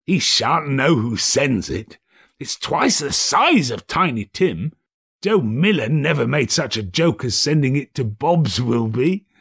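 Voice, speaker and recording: Guy doing giddy old man, reformed miser in a storybook, pitched way up, gushing and swooping all over. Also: sing-song, creaky, fairly bright tone, husky, heavily acted. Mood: mischievous glee. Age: older. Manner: exclamatory, drawn-out, gushing delivery. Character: elderly reformed miser, giddy, delighted, effusively kind. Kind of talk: dramatised audiobook character voice.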